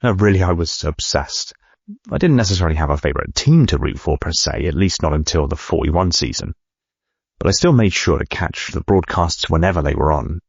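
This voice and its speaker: An actor playing a driven company CEO narrating his memoir in a reflective, measured way, with a gravelly, husky voice. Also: rumbling low, lively intonation, dry recording, dull audio, exaggerated voice. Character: driven male medical company CEO recounting his life. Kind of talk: first-person memoir narration.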